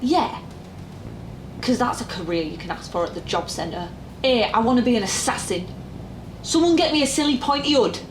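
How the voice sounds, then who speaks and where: A woman's voice: a little high, animated, normal speed, long pauses, background noise, lightly acted. Woman playing sarcastic young call-centre worker, roomy. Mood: wry. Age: young adult. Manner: informal, dry sarcasm. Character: jaded twenty-five-year-old female call-centre worker, sarcastic, restless. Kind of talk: naturalistic audio drama acting. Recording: roomy, music underneath.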